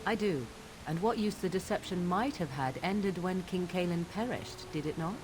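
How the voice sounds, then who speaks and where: A woman's voice: lowish voice, expressive, moderate pace, background noise, lightly acted. An actress playing a blunt, probing female companion in a video game, speaking in a formal, pointed way. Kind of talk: video game dialogue acting.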